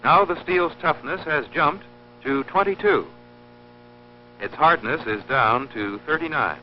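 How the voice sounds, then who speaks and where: A man narrating an instructional film in a formal, scripted, measured and precise teaching manner. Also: on the high side, slight background noise. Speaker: narrator of an industrial training film. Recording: dull lo-fi old film soundtrack, humming.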